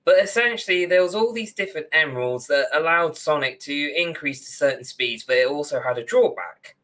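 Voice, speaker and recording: A man's voice: a little high, lively intonation, brisk, a bit bright, rough recording. A young man, co-hosting a podcast in casual discussion over a video call. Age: young adult. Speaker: podcast co-host. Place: indoor room on a video call.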